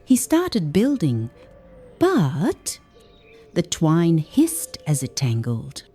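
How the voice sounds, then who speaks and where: Casting: female children's storybook narrator, gentle and expressive, warm dark tone, slow pace, very animated melody. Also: low voice, slightly rough, soft background music. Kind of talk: scripted read-aloud children's story narration.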